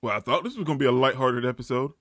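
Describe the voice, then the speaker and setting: A man giving an excited, casual reaction commentary, exclamatory and slangy as he hosts. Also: medium pitch, very fast, no room sound, studio quality. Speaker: anime reaction host.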